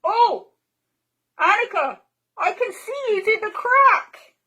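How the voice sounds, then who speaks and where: A bold, cheeky little girl, squealing and carefree, as a woman storyteller puts her on. The voice is very high and bouncing, stretched out slowly for fun in a storybook reading. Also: lively intonation, bright, forceful tone, full character voice. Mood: gleeful.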